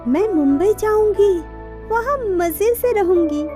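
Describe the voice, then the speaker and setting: A woman playing a naive, gentle, long-suffering young village wife in an animated moral story, meek and plaintive. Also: very high voice, slow, over music, full character voice. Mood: shy, smitten.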